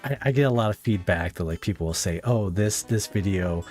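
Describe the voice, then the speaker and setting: Deep-voiced male podcast host. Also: animated, fairly quick, darkish tone, faint music behind. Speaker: podcast host, fellow video creator. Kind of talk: casual podcast conversation.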